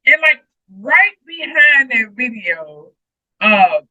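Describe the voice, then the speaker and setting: Woman, bright forceful voice, informal Bible study co-host, dry dull home mic. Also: high voice, sing-song, slow, no room sound. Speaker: co-host of a Bible read-along. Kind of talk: casual group chat and scripture read-aloud.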